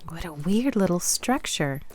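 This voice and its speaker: Expressive, spirited Japanese woman. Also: lowish voice, measured pace. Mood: curious. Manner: teasing, earnest, expressive. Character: spirited Japanese woman, playful but wary. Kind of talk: radio play dialogue.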